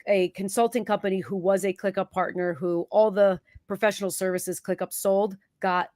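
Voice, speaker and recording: A woman fighting a cold hosts a live question-and-answer stream, sniffling now and then. She talks in a chatty, self-interrupting way, often chiming in with quick agreement. Her voice sits at a middle pitch in a dry, close recording. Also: fairly even intonation, darkish tone, dry recording. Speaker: host, productivity consultant.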